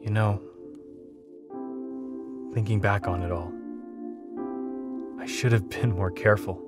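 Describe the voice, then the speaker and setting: A man's voice: lowish voice, steady tone, takes it slowly, over loud music. A man playing a weary, remorseful survivor leaving a last log in an audio drama, grave and regretful. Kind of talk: audio drama acting, journal reading.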